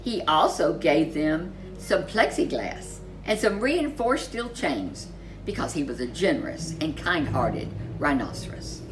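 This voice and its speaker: A woman reading a children's storybook aloud as a storyteller, with expressive, deliberate delivery and dramatic emphasis. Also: sits low, music in the background, poor audio.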